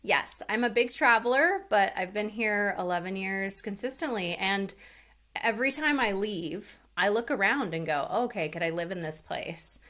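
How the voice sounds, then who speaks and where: A woman's voice: mid-range voice, lively intonation, moderate pace, fairly bright tone. A woman in her thirties from Hawaii, a rental investor chatting candidly and laughing easily as a podcast guest. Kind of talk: casual interview chat.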